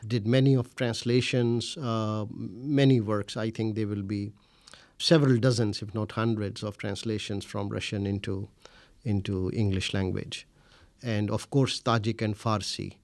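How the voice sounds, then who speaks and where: Measured Urdu-speaking Indian man, dry sound. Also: mid-range voice, a little mellow, no echo. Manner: measured, frequent "uh" pauses, formal phrasing. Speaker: interviewee, scholar. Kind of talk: reflective interview answers.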